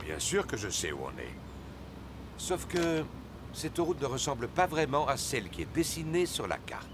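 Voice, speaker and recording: A man's voice: mid-range voice, big pitch swings, normal speed, noisy background, close to an everyday voice. An actor voicing a proud, stubborn, good-humoured father pig in a children's cartoon. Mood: stubborn, blustering. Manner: blustering, cheerfully self-assured. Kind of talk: French children's cartoon dubbing.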